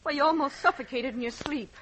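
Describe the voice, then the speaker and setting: A woman's voice: high-pitched, a little fast, background noise, close to an everyday voice. An actress playing an anxious, fussing, concerned woman in an old-time radio drama, with an urgent manner. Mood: anxious relief. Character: anxious, breathlessly concerned female rescuer.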